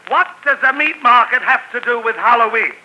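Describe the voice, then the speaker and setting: A pompous old poet, in a very high, bright, piercing voice that leaps about as he sputters. He recites verse with grand theatrical airs in an old radio comedy. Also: animated, fairly quick, dull audio, a little hiss or hum, full character voice. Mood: indignant. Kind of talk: 1940s radio comedy acting.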